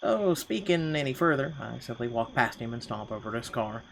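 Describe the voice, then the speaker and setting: A man's voice: mid-range voice, lively intonation, a little fast, gravelly, a bit bright, slight background noise, rough recording. A man reading first-person narration from a game aloud in a dramatic storytelling style. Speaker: gaming channel host reading a visual novel aloud.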